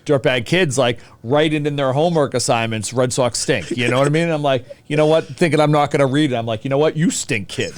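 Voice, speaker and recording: Man, forties, Boston accent, smooth clear voice, mid pitch, colloquial, bantering interview chat. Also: fast, few pauses, no room sound. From Boston, USA. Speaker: teacher and hockey coach, podcast guest.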